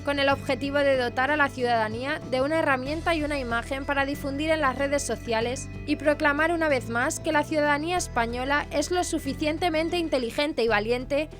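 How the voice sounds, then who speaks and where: A high-voiced woman from presents scripted news. Her reading is formal bulletin narration, with faint music underneath. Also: brisk, hardly any pauses, a bit bright.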